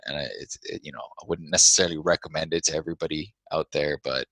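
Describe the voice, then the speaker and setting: A man's voice: rumbling low, steady tone, fast, a bit bright, no echo. A man from Hawaii, a guest entrepreneur talking in a casual interview in a modest, earnest way with frequent restarts.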